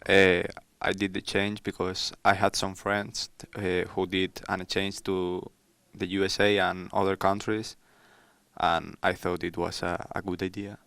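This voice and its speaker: Young man from Spain, very deep voice, flat, gravelly, hesitant. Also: measured pace, no room sound. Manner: hesitant, with fillers. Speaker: exchange student.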